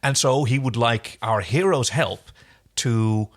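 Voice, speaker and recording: A man's voice: mid-range voice, takes it slowly. A man co-hosting a podcast, giving an enthusiastic, exclamatory recap in casual conversation. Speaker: podcast co-host. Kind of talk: casual recap chat about a TV show.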